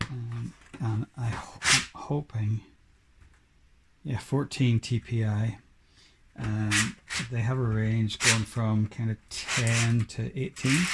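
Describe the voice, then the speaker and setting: A man giving casual hands-on repair narration, halting and half talking to himself as he works. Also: medium pitch. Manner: muttering to himself while working, halting. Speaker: DIY repair presenter. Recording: clearly noisy, with clicks and tool handling.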